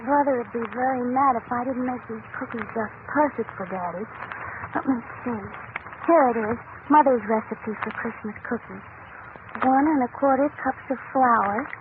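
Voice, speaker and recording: A woman's voice: fairly high pitch, normal speed, natural voice. A woman acting as an earnest, worried young child in 1940s radio drama style. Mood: plaintive. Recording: tinny noisy old radio recording.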